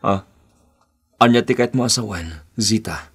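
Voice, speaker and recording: Husky, low-voiced uneasy boyfriend. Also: male voice, moderate pace, fairly bright tone, heavily acted. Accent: Ilocano. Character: uneasy, apologetic young boyfriend, Jerry, cornered and evasive. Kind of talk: Philippine radio drama acting.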